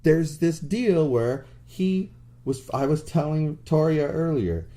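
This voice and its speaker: A man co-hosting a podcast, telling a story in a blunt, animated way with crude jokes. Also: a little high, slow pace. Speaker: podcast co-host. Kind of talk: casual chat.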